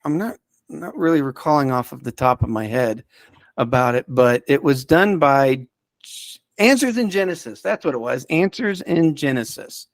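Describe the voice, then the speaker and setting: A man with a mid-pitched voice talks casually as a guest giving recommendations. He is keen but rambles, pausing often to hunt for the right word. The sound is close and dry, with no room echo. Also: expressive. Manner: halting and rambling, searching for words. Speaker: podcast guest reviewing audio dramas. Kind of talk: casual unscripted chat, recommendations.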